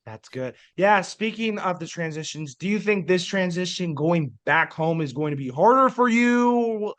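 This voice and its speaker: A man's voice: high voice, lively intonation, normal speed, a bit bright. A man hosting a podcast interview, asking eager, loose run-on questions. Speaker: podcast host.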